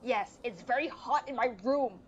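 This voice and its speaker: A woman streaming a video game with casual, sarcastic, sweary commentary and deadpan jokes. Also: on the high side, moderate pace, muffled recording, a little hiss or hum. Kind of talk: casual live gameplay commentary. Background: video game music.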